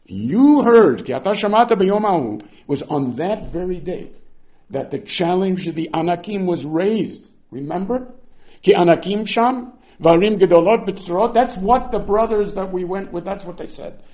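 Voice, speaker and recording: A man's voice: sits high, lively intonation, normal speed, a little mellow, lo-fi sound. A man teaching a Bible text, reading Hebrew verses aloud and then explaining them with emphatic, dramatic swings in delivery. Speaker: Torah teacher. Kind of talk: text-based Bible lecture.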